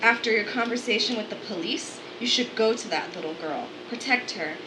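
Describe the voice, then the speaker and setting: A woman playing a cool, menacing older aunt in a radio play, speaking calmly and slowly. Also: on the high side, lightly acted. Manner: calm, slow, threatening. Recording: echoey room with clear noise.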